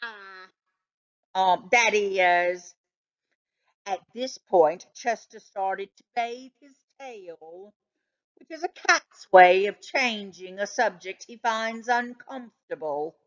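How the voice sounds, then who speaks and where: Woman storyteller, expressive children's narration, unhurried, lively intonation, slightly muffled recording. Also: medium pitch. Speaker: storybook reader.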